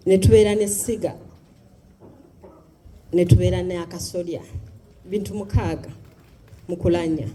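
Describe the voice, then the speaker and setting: A speaker explaining clan customs in Luganda, pausing often. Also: medium pitch, steady tone, dark tone, slight background noise. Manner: halting, explanatory. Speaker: panelist. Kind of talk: explaining tradition. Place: community hall.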